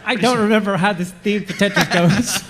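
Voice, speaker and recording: A man playing a dim-witted, childlike, innocent soldier with a simple, literal manner, in a comedy table read of an animated series. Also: high-pitched, moderate pace, a crowd in the background, natural voice.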